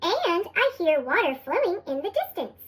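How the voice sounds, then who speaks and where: Gadget-loving little boy played by a story reader, earnest, extremely high chipmunk effect, children's storybook. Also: sing-song, normal speed, fairly bright tone, exaggerated voice. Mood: earnest, reluctant. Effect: sped-up chipmunk voice.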